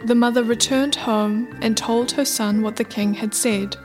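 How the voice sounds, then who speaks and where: Lady narrator telling a folktale, highish voice, measured, bit of music behind. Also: fairly even intonation. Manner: measured, literary storytelling. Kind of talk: scripted folktale storytelling narration.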